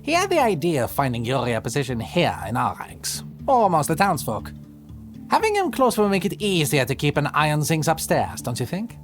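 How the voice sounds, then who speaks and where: A man's voice: on the high side, huge pitch swings, a little fast, faint music behind. An actor playing a weary, theatrical immortal man who talks in florid, sardonic turns in an audio roleplay. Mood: wry, weary. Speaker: lead character in an audio drama. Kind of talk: ASMR audio roleplay acting.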